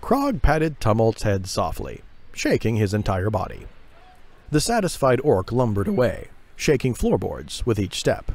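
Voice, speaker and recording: Low-pitched man narrating fantasy drama. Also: wildly swooping pitch, unhurried, a little mellow. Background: music.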